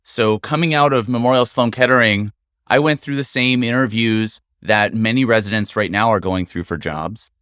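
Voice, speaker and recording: Smooth-voiced middle-aged man, measured. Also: lowish voice, fairly bright tone, no room sound, clean studio sound. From United States. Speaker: guest interventional radiologist. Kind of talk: conversational interview. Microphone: studio microphone.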